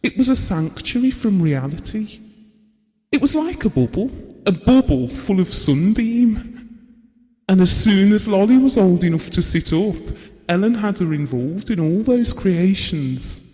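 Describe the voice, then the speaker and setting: A man's voice: sits high, expressive, a little slow, mellow, big-room sound. An actor playing a devoted, wistful husband who reminisces tenderly and reflectively about his marriage in an intimate audio drama. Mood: fond, wistful.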